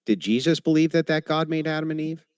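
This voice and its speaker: A man's voice: on the high side, fast, clear, resonant tone, no room sound, clean studio sound. A man in a debate, pressing his opponent with pointed follow-up questions. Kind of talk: live debate.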